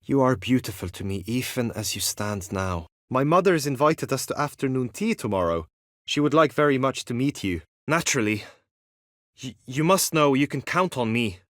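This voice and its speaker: A man's voice: medium pitch, expressive, normal speed, a bit bright, no room sound, studio quality, lightly acted. A man playing an earnest, lovestruck young knight in a fantasy video game, speaking in formal, archaic, courtly phrases. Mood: resolute, tender. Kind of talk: video game voice acting, medieval fantasy drama.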